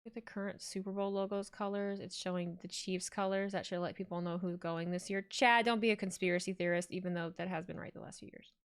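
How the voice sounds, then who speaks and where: A woman's voice: fairly low pitch, steady tone, fast talker, few pauses, smooth. A young woman, a streamer, chatting casually and jokingly while she reads her chat aloud during a watch-along.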